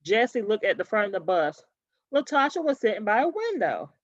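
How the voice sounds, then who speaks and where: A woman's voice: medium pitch, big pitch swings, a little fast, no echo, highs cut off. A woman reading a children's story aloud expressively, in the manner of a teacher.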